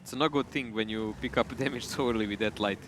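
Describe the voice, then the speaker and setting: Bright-voiced male esports caster. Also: fairly low pitch, quick pace, a little hiss or hum. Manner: chatty, loose phrasing with restarts. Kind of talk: live esports commentary.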